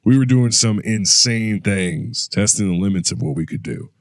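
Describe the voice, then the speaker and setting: Major Liberty, a low-voiced veteran super-soldier. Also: male voice, moderate pace. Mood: calm. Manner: steady recounting, low-key storytelling. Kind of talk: audio drama acting.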